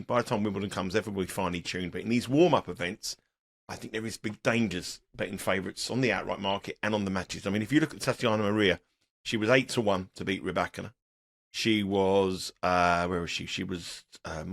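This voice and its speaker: A middle-aged man with a sore throat, sounding croaky as he talks tennis bets in a rambling, restarting way. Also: fairly low pitch, a bit bright. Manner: rambling, repeats words and restarts. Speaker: tennis betting analyst. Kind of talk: casual podcast chat.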